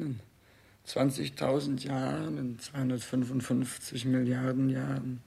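An actor playing a grandiose, self-obsessed dying king terrified of death, theatrical in a 1960s German radio drama, with a husky, gravelly voice. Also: mid-range voice, exaggerated voice. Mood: bitter, fading. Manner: theatrical, slow declaiming, swinging between bombast and faint pleading.

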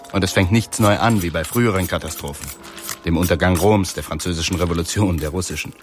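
A man's voice: low-pitched, lightly acted. A man playing a grim doom-saying cook. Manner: brooding, solemn, apocalyptic. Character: male cook in windowless basement kitchen, grim prophet of doom. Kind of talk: radio play monologue.

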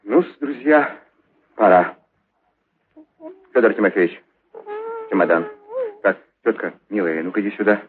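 Nervous circus clown, smooth, lively voice. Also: male voice, lowish voice, a little slow, long pauses, narrow, tinny audio. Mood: tender, hushed urgency. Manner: fretful muttering, fond coaxing of his animals. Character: nervous male circus clown, master of trained animals. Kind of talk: children's audio play acting.

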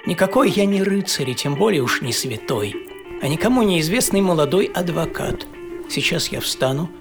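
An actor playing a young idealistic lawyer in a theatrical, intense radio monologue with deliberate pauses. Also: male voice, medium pitch, lightly acted. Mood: sombre, resolute. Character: young idealistic American lawyer, a fiery crusader recounting his fight. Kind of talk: dramatic first-person radio monologue in Russian. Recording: studio voice over prominent music. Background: music.

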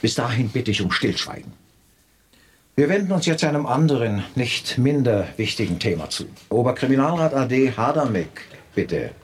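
A man's voice: mid-range voice, animated, normal speed, slightly rough. A man playing a pompous, moralizing company director in a radio drama, speaking in formal, lofty phrases.

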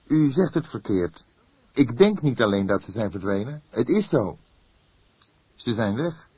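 A man acting out a young man in a detective audiobook, keyed up and anxious. The voice sits high and a little husky. He is earnest and insistent, pressing his report on the police with breathless urgency. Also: sing-song, a little slow, darkish tone, no room sound.

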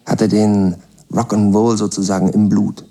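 An actor playing a self-satisfied young Soviet rock musician in a radio drama, talking in blunt, offhand slang with a gravelly, husky voice. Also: male voice, fairly low pitch, level tone, a little slow, warm tone. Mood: cocky, satisfied. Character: self-satisfied long-haired Soviet rock musician, laconic rebel son. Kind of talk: radio drama monologue, colloquial.

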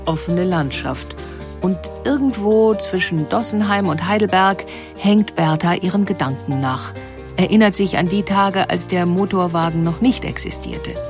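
A woman reading scripted documentary narration in a measured, explanatory way. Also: fairly low pitch.

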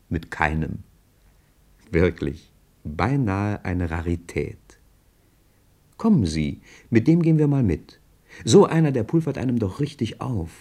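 An actor playing a curious, admiring passenger who narrates in a German radio play, chatty and confiding with the listener. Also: male voice, low-pitched, dark tone.

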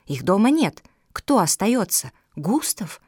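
A woman voicing a sharp-tongued, cynical female squirrel in a storybook, domineering and insinuating. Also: medium pitch, sing-song, takes it slowly, husky, no room sound, studio quality, full character voice. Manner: insinuating, triumphant, interrogating.

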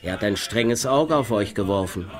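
Secretive, persuasive nobleman, male actor for radio drama; animated intonation, faint music behind. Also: on the low side, normal speed, close to an everyday voice. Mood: sly, teasing. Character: mysterious, smooth-talking noble stranger with an errand. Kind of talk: German radio play acting.

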